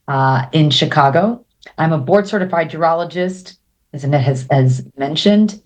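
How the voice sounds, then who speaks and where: A woman doctor explains as a guest in a very low, steady voice. She is confident and direct, and often pauses to check that the listener follows. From United States. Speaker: urologist guest. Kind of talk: expert explanation in interview.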